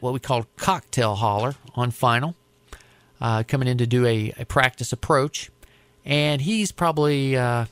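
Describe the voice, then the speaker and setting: An American man recounting his work as an air traffic controller in a conversational, deliberate, explanatory way. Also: mid-range voice, clean tone, dry recording. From United States. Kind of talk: conversational storytelling interview.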